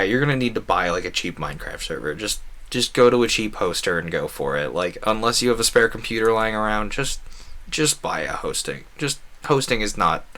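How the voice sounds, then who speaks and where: Casual man rambling while gaming. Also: on the low side. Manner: animated, acts out quoted conversations. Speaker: video game streamer. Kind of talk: casual rambling gameplay commentary.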